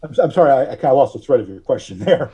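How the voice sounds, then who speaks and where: A man speaking as a podcast guest, giving conversational political commentary in a measured, wry, halting way. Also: mid-range voice, lively intonation, warm tone, slightly rough, highs cut off.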